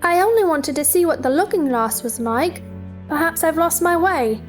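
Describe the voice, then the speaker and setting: Woman doing a little storybook girl, really high voice, polite and full of wonder, soft music behind. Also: lively intonation, normal speed. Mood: curious, polite. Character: curious, polite young girl in a dreamlike wonderland. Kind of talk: theatrical dramatized reading.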